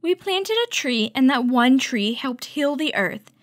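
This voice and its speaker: A woman hosting a children's story time, reading a picture book aloud and teaching with clear, instructive delivery. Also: sits high, animated, a little slow, no room sound, clean studio sound. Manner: clear, instructive, addressing young children with questions.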